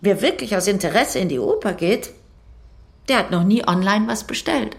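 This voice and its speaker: A woman's voice: medium pitch, animated, moderate pace, vocal fry. An actress playing an opinionated, scornful woman with a dismissive, emphatic way of talking in a radio play. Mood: emphatic, lofty. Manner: dismissive, emphatic, repeats her verdicts.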